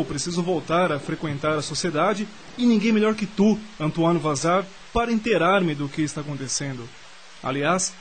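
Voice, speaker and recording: A man's voice: high voice, slight background noise, lightly acted, poor audio. A man acting in a radio drama as an eager, curious nineteenth-century French nobleman, with formal old-fashioned diction.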